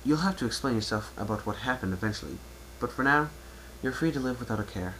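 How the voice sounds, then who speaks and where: A man's voice: mid-range voice, animated, fast talker, a bit bright, close to an everyday voice. A man voicing a refined, ladylike female pony who owns a boutique, speaking in a genteel, fussy and dramatic way. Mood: sympathetic, curious. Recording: noisy amateur home recording.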